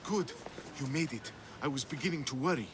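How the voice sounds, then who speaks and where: A man's voice: medium pitch, expressive, fairly quick, background noise, natural voice. An actor playing a fearful male artist on the run in a video game, agitated and earnest. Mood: relieved. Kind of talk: video game cutscene acting.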